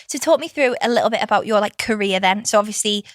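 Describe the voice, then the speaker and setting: A woman's voice: mid-range voice, quick pace, no room sound, clean studio sound. A woman hosting a podcast, chatting with her guest in a friendly, encouraging way. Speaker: podcast host. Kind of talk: casual interview chat.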